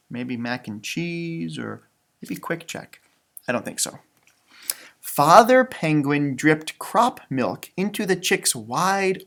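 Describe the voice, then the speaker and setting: A man with a lively, swooping delivery hosts a children's storybook read-aloud. His voice sits fairly high for a man, and he talks like a teacher, exaggerating and repeating phrases for young listeners.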